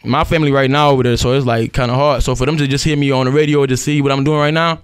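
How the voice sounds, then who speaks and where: A young man from Cuba talks fast and loose as a guest in a radio studio. His voice is smooth and clear and the sound is dry and close. He leans on slang and keeps asking "you feel me.". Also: medium pitch, steady tone, dry recording. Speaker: guest rapper. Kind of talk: casual radio interview.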